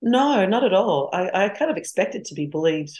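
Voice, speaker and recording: A woman's voice: mid-range voice, big pitch swings, moderate pace, a little mellow. A middle-aged woman with an Australian accent, speaking frankly and reflectively as an interview guest. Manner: frank and reflective, laughs easily. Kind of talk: candid interview conversation.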